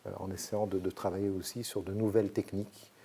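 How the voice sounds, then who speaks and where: A man from Belgium, a sociologist explaining his research in measured, hesitant sentences. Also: low voice, flat delivery, dark tone, slightly rough. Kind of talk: academic interview answers.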